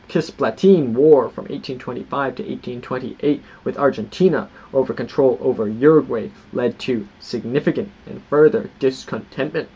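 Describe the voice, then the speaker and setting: A man's voice: medium pitch, a little mellow, husky, dull audio. A man hosting a history lesson, reading prepared points aloud and breaking off into casual asides with frequent restarts. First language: not Portuguese. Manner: reads scripted points, then adds loose unscripted asides with restarts. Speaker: history channel host. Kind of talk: reading and commenting on history notes.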